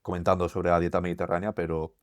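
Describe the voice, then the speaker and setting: A man with an accent from Spain, co-hosting a podcast and asking questions in a measured, hesitant way. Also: sits low, little pitch movement, clean tone, no room sound. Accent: Spain Spanish. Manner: measured, hesitant, lots of "eh" fillers. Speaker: co-host. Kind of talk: casual podcast conversation.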